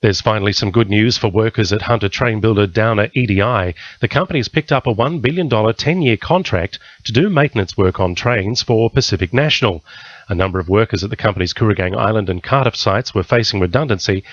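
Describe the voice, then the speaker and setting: A man's voice: lowish voice, fast talker, dry recording, muffled recording. An Australian man reading a scripted news bulletin on the radio. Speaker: radio newsreader.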